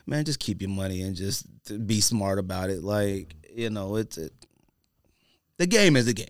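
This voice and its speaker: A man in casual podcast conversation, telling stories in an animated, slangy, conversational way. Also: fairly low pitch, normal speed, no room sound, studio quality. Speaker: podcast host. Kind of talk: casual podcast chat about sneakers.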